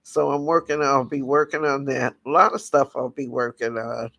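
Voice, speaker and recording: A raspy-voiced man from the United States, hosting a casual livestream talk and speaking in a halting way with many restarts. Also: mid-range voice, fairly even intonation, pushed and bright, no room sound, clean studio sound. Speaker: channel host.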